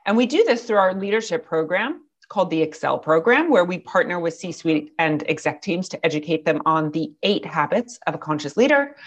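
Slightly raspy woman podcast host. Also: fairly low pitch. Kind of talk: scripted intro then interview.

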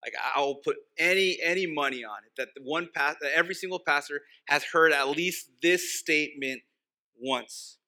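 A man's voice: on the high side, moderate pace, pushed and bright. A man from Texas whose first language is Spanish, preaching an informal, conversational sermon and repeating key phrases for emphasis. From Texas, United States. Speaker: pastor preaching.

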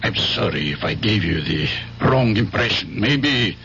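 Slow, evasive radio-play professional. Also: male voice, fairly low pitch, lively intonation, pushed and bright, full character voice. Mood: regretful, evasive. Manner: halting, careful, backpedalling. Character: hesitant, evasive professional man under questioning, regretful. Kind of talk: 1970s radio drama acting. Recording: dull, faintly noisy 1970s radio recording.

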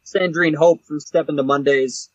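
A man's voice: on the high side, steady tone, moderate pace, no room sound. Dry, dull-sounding male podcast co-host. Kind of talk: casual unscripted chat.

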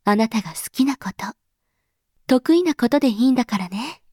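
Woman playing a gentle, higher-voiced mother. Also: expressive, a little fast, husky, no echo, clean studio sound. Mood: gentle, reassuring. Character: gentle, patient mother explaining to her child. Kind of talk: Japanese audio drama acting.

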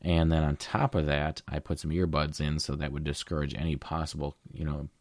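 A young man with a deep voice, casually telling a long story as a guest in a conversation, with fillers and restarts. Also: little pitch movement, fairly quick, no room sound, studio quality. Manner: rambling, with fillers.

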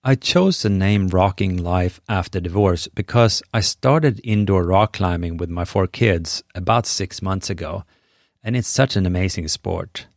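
A middle-aged man with a Swedish accent and a low, deep voice delivers a scripted podcast monologue. He talks in an earnest, measured, encouraging way, close and dry with no room sound. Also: creaky, no room sound. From Sweden. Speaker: podcast host.

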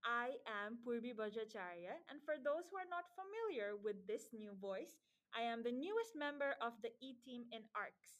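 A young woman hosts a student podcast with an upbeat, friendly manner. Her voice sits a little high for a woman. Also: very animated, moderate pace, a bit bright. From Nepal. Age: young adult. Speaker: podcast host. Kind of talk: casual podcast chat.

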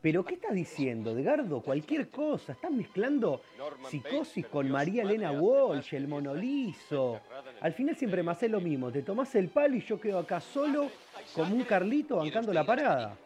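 A man's voice: sits high, very animated, moderate pace, darkish tone. An actor with an Argentine Rioplatense accent playing a pompous, easily exasperated film expert who hosts a comedic radio show, switching between formal and slangy. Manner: formal presenting that slips into slangy grumbling.

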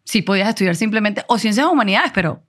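A Venezuelan woman in her forties, a guest telling her story in a casual interview, animated and self-assured, laughing often. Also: medium pitch, racing. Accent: Venezuelan Spanish.

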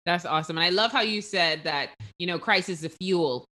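Woman hosting, lowish voice but bright and forceful, upbeat and affirming. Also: brisk. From the Bahamas. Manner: affirming, lively questioner. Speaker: host. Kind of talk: faith interview chat.

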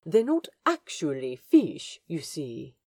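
A fussy, fond gentleman guide voiced by a woman in a fan audio drama. He is prim and eager, with a soft, breathy, mellow tone that moves slowly and rises and dips expressively. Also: deep voice, huge pitch swings, no echo, studio quality, heavily acted. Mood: eager, explaining.

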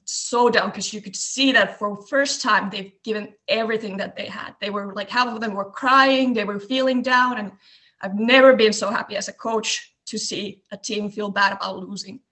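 Woman, 20s, Finnish accent, casual interview guest, mid pitch, relaxed and chatty. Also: normal speed. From Eastern Finland. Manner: relaxed storytelling with many fillers. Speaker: guest, basketball coach.

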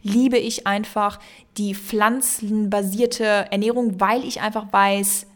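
A woman's voice: mid-range voice, steady tone, slow. Young woman podcast co-host, loose and rambling, heavy on fillers, mixes in English words. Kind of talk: casual podcast chat.

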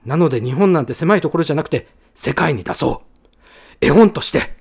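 A man's voice: fairly high pitch, lively intonation, a little fast, creaky, husky, full character voice. A man voicing a bossy, enthusiastic older man who talks bluntly in a radio drama. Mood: fired up. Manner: blunt, commanding, rousing.

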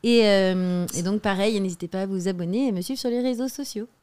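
A woman's voice: mid-range voice, brisk, very noisy. Insistent young woman in a debate. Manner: insistent, many restarts and fillers. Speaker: guest comedian. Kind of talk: heated debate.

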